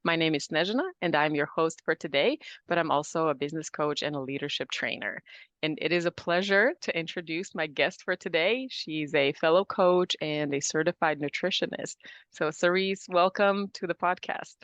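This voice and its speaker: A woman hosting a podcast interview, speaking in a measured way with some hesitant fillers. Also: low voice, a bit bright, no echo, dull audio. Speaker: podcast host, business coach.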